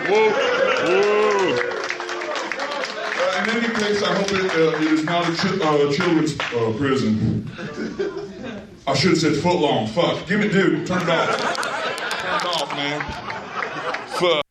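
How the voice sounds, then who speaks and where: A man's voice: fairly high pitch, slow pace, pauses often, over a noisy crowd, heavy background noise, voice pushed up. A man voicing a crude, unraveling male road comedian who rambles with stumbling restarts. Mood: rambling. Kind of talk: stand-up set in a radio play. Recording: distant echoey live club recording.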